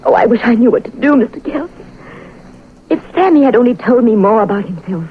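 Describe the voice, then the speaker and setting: An actress playing an anxious, grieving young wife in an earnest, emotional 1940s radio drama style. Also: female voice, on the high side, lively intonation, a little fast, close to an everyday voice. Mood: anxious, confiding. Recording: dull, hissy vintage radio recording.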